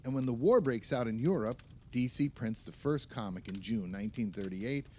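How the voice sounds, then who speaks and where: An actor playing an opinionated, nostalgic film writer, talking emphatically and at length among friends in a naturalistic audio play. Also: male voice, mid-range voice, darkish tone, a little hiss or hum, lightly acted. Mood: storytelling, proud.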